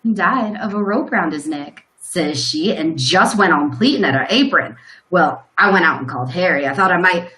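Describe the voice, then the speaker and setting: A woman playing a plain rural farmer in a live radio play, telling a grim story in a halting, folksy way. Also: lowish voice, expressive, sharp tone, poor audio. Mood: uneasy recounting.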